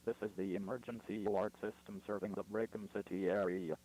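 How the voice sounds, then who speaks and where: A man's voice: fairly low pitch, steady tone, a little fast, mellow, natural voice, rough recording. A man playing a stern, impersonal emergency alert announcer, giving formal scripted instructions. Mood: stern, official.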